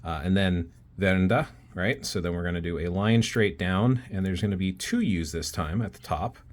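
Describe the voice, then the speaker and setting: A man with a deep voice walks through a hands-on lesson in a relaxed, unpolished way. He talks while he draws each shape and often thinks aloud as he goes. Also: normal speed. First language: not Icelandic. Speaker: self-taught rune hobbyist teaching. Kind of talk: casual step-by-step tutorial.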